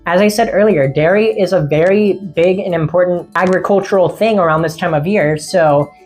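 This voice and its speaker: A woman casually vlogging about seasonal witchcraft in a friendly, rambling way, her voice getting a little raspy. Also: lowish voice, moderate pace, darkish tone, faint music behind. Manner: rambling, friendly, laughs often. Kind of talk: casual chatty vlog.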